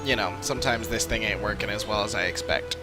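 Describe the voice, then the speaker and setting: Low-voiced man rambling on a game stream. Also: quick pace. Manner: rambling, thinking aloud. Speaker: live streamer playing an online role-playing game. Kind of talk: casual, unscripted stream chat. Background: game music.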